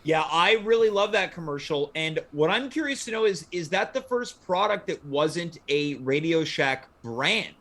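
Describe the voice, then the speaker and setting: A man with a fairly high voice hosts a casual panel conversation. He is inquisitive and moderates as he goes. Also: expressive, normal speed, hardly any pauses, fairly bright tone. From Quebec, Canada. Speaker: talk show host.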